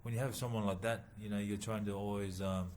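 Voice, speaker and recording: A man from New Zealand, a boxer, answering interview questions haltingly with many pauses and fillers. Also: lowish voice, fairly even intonation, slight background noise. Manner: halting, many ums and uhs, soft-spoken. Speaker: boxer and former rugby league player, guest. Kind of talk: casual interview.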